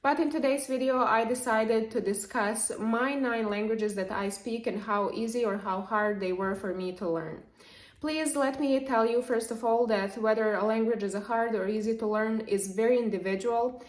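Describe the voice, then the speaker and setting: A young woman from Serbia, a native Serbian speaker, explaining language learning to camera in a lively, chatty way. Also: on the high side, normal speed. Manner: lively, explanatory, with frequent fillers. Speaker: language vlogger. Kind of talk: casual talk to camera. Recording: echoey room.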